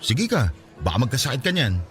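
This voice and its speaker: A man with a Filipino accent playing a cocky but caring young best friend in a radio drama, bantering and expressive. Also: medium pitch, brisk, soft background music.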